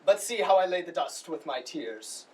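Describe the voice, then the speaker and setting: Guy doing a woeful confused shakespeare clown, high voice, slow, recorded from way back. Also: animated, very noisy, close to an everyday voice. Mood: mock sorrowful. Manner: slow, deliberate, muddled. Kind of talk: comic Shakespeare stage monologue. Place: school showcase stage, recorded from the audience. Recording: distant stage recording.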